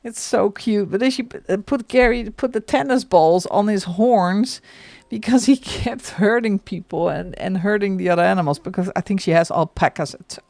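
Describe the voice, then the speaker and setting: A woman's voice: medium pitch, moderate pace, creaky, a little mellow, no echo. A middle-aged woman from the Netherlands with a Dutch accent, chatting casually and laughing often while she colours on a livestream. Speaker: livestream host.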